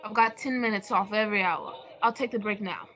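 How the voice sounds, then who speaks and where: A woman's voice: mid-range voice, a little fast, pushed and bright, natural voice. A woman playing a fretful, theatrical male sidekick in an old-time radio drama, fussy and exclamatory. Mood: anxious, indignant. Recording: dull lo-fi audio.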